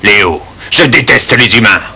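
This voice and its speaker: A man voicing a gruff, scolding, hot-tempered animal in a French cartoon dub, with a raspy voice. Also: lowish voice, expressive, moderate pace, sharp tone, full character voice. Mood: grumbling, contemptuous. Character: gruff, hot-tempered male animal who hates humans.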